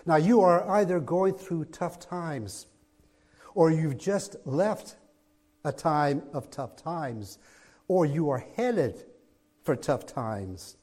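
A man with a raspy voice preaching a sermon as pastor in a church, going from a soft low opening to loud emphatic delivery. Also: expressive, slow pace. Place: church sanctuary, streamed online.

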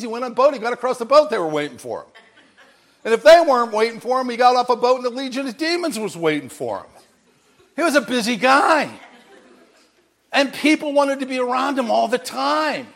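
Guy preaching, really high voice, big and animated, acts out the bits where people talk. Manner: animated storytelling, acting out bits of dialogue. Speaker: pastor. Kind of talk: sermon.

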